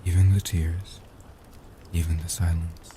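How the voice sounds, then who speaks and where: A man playing a gentle, protective ancient vampire guardian in a soft-spoken comfort audio roleplay, talking slowly and soothingly with formal, solemn phrasing. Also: little pitch movement, slight background noise. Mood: tender, reassuring. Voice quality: soft, hushed, dark low voice.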